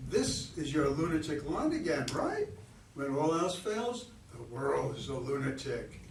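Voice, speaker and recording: Man acting a pushy, wildly animated flirt, distant mic. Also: on the high side, measured pace. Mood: needling. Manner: talkative, needling, insistent. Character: pushy, teasing, flirtatious male stranger in a park. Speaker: amateur play reader. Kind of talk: staged reading of a comic stage play. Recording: distant roomy recording with faint noise.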